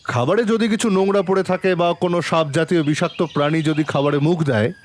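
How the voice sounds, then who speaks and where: A man playing a composed, probing police inspector who narrates his case in a dramatic, deliberate audio-drama style. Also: a little high, fairly even intonation, a little hiss or hum, close to an everyday voice. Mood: firm, questioning. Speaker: narrator and lead actor in an audio story. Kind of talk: dramatic first-person detective story narration. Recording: long reverb, music underneath.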